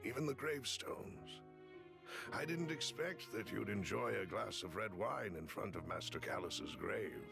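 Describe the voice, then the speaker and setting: Low, gravelly, courtly retainer. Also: male voice, expressive, a little slow. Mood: calm, courtly. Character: courtly, loyal male retainer, calm and wry. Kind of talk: anime-style video game drama acting. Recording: music behind.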